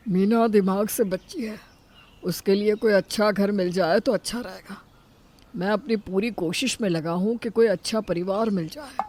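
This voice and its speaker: A woman's voice: mid-range voice, normal speed, a little mellow, lightly acted. A woman voicing an earnest, caring middle-aged father, a poor working man in a cartoon moral story. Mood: concerned.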